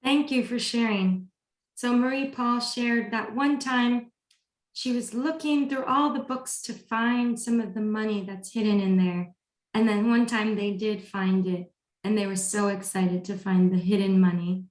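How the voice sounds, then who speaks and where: A woman's voice: medium pitch, fairly even intonation, unhurried. A woman leading an online group training session, politely giving instructions and prompts to participants. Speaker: training facilitator. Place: online video call. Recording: roomy, echoey video call audio.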